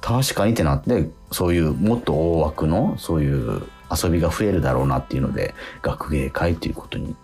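A middle-aged man, hosting a radio show in casual, halting chat that moves into announcements. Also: rumbling low, expressive, gravelly, a little mellow, faint music behind. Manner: halting, self-interrupting, repeats affirmations. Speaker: radio show host and stage actor.

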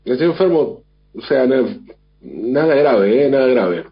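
A man's voice: mid-range voice, expressive, a little slow. Man with a mild flu, lo-fi. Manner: thoughtful, rambling, with many restarts. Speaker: radio host. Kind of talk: reflective monologue. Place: at home. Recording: dull lo-fi sound.

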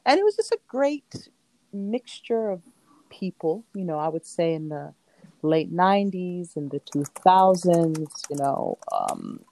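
A woman's voice: on the low side, huge pitch swings, unhurried, mellow, no room sound, poor audio. A woman poet from Queens, New York, speaking as a guest in a casual interview. She talks reflectively, rambles a little and laughs often. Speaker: poet and performing artist.

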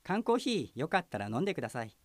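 Man playing a junior detective with lively intonation. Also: a little high, very fast, heavy background noise. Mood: polite. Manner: polite, with blunt retorts. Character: junior male detective, exasperated straight man to his boss. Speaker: voice actor. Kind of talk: audio drama acting.

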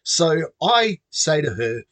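A man's voice: fairly high pitch, very animated, takes it slowly, a bit bright, no room sound. A middle-aged Australian man casually telling a personal story, chatty and self-amused. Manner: chatty, self-amused, acts out quoted voices.

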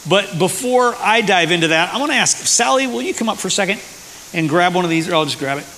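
High, bright-voiced pastor in a church. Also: male voice, animated, quick pace. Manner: conversational, with fillers. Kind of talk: church sermon. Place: church hall. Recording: hissy echoey church hall.

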